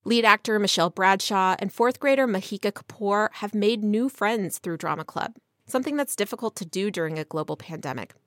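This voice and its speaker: A woman's voice: medium pitch, brisk, fairly bright tone, dry recording. Woman radio reporter, very dry close sound. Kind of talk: scripted radio news narration.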